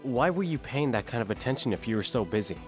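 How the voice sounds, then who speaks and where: A man's voice: mid-range voice, animated, fast talker, close to an everyday voice. Man as dogged young-hearted defense attorney, earnest questioning, courtroom audio drama, music underneath. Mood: probing. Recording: music behind the voice.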